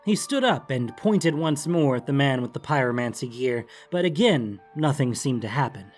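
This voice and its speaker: Man narrating fantasy, mid-pitched, over music. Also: animated, normal speed. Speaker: audiobook narrator. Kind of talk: scripted dramatic fantasy narration.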